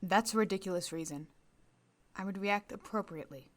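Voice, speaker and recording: A woman's voice: mid-range voice, a little fast, lightly acted. Stubborn, proud captain, played by a woman. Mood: indignant. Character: stubborn, proud female captain recovering from surgery. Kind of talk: audio drama acting.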